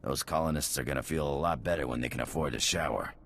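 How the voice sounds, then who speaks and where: A weary colony engineer in a video game, with an extremely low voice. He is plain-spoken, folksy and wry, with faint noise behind him. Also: fast talker, fairly bright tone. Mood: friendly, wry.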